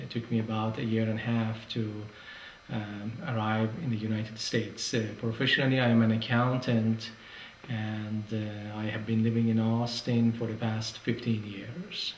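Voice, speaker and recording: A middle-aged man from Iran, speaking hesitantly with many pauses in a documentary interview. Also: on the low side, reverberant, slight background noise, low-quality recording. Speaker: interviewee, accountant.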